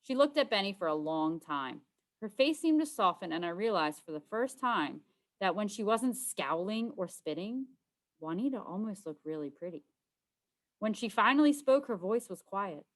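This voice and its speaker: A woman reading a children's storybook aloud as scripted narration, in the role of a teacher. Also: medium pitch.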